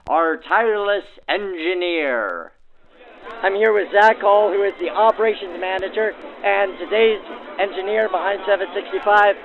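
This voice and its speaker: A man's voice: high voice, narrow, tinny audio. A man hosting in the style of 1940s radio, narrating and interviewing through a vintage radio filter. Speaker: host and interviewer. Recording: thin old-time radio sound, music behind.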